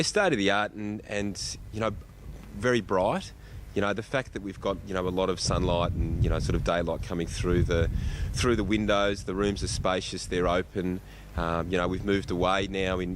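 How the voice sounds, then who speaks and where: Australian male interviewee, very low voice, halting and wandering answers, clearly noisy outdoor location. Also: steady tone. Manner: hesitant, rambling, filler-heavy delivery. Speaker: school principal being interviewed. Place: outdoors at a school site. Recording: outdoor location, loud traffic-like ambient noise.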